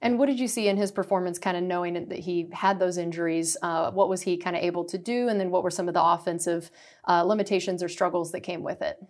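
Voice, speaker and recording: A woman's voice: on the low side, steady tone, fast, darkish tone. A young woman, a team reporter hosting a radio show, asking long, wordy questions with fillers.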